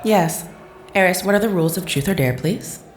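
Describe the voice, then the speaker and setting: A woman's voice: lowish voice, fairly quick, slight background noise, natural voice. Woman acting cool, firm mother, echoey room. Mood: controlled. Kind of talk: scripted audio drama acting.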